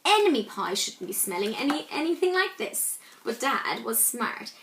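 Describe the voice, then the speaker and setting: A woman reading a children's story aloud, expressively and dramatically, with pauses and emphasis. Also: on the high side, big pitch swings, a bit bright, a little hiss or hum.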